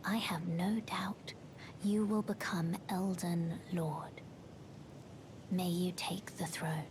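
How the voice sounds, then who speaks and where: A woman playing a gentle, mysterious maiden guide in a fantasy game, with a soft, breathy voice and formal, gracious speech. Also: fairly even intonation, slow pace. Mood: calm, solemn farewell. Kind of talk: restrained fantasy game acting. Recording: rumbling background noise.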